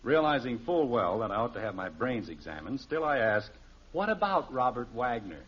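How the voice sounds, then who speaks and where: A man's voice: medium pitch, sing-song, moderate pace, breathy edge, dull audio. An actor playing a wry, mock-pompous American father in a 1950s radio sitcom, on an old recording. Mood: wry patience. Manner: florid, mock-pompous phrasing.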